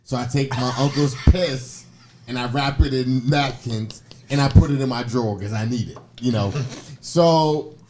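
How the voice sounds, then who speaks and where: A man's voice: medium pitch, normal speed, background noise. A man telling a comic story in casual, slangy conversation, acting out the exchanges as he goes. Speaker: podcast guest telling personal stories.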